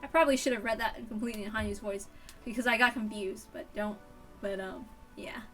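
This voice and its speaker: Woman's casual, quiet reader's aside. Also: mid-range voice, fairly even intonation, a little fast, far from the mic, faint music behind. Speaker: amateur story reader. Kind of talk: casual aside after a story reading.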